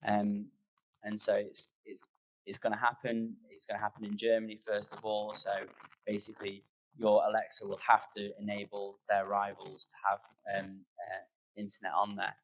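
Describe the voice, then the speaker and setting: A man gives an informal conference talk in a fairly low voice. His intonation stays very flat as he explains things in a loose, conversational way. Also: vocal fry, low-quality recording. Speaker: conference speaker. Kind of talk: informal presentation and audience Q&A.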